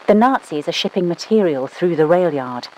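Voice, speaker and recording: A woman voicing a brisk British spymaster who gives mission orders over the radio in a dry, commanding manner, with a British accent. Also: on the low side, animated, a little mellow, slight background noise, close to an everyday voice, poor audio. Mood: briefing. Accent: British English. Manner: clipped, dry, commanding, scolding. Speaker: mission controller. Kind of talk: game voice lines.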